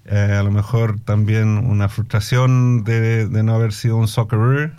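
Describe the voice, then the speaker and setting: A middle-aged man with a Chilean accent tinged with Australian English. His voice is dark, mellow and fairly low. He answers reflectively as an interviewee, often hesitating for the right word and mixing in English. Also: fairly even intonation, normal speed, smooth. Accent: Chilean Spanish with Australian English influence. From Australia, Chilean immigrant family. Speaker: musician and music educator being interviewed.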